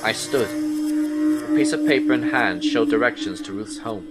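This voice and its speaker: A man's voice: lowish voice, natural voice. Haunted male investigator, played by an actor, first-person horror audio drama narration, suspenseful and anxious, prominent music underneath. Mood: wary, haunted. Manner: deliberate, suspenseful storytelling in short phrases.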